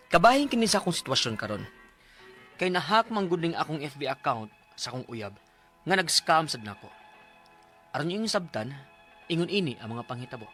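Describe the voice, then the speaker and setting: A man's voice: on the high side, a bit bright. An actor playing an earnest, lovestruck male student, speaking expressively in a radio drama over music. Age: young adult. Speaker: letter narrator and lead character. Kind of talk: radio drama acting and narration. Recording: music under the voice.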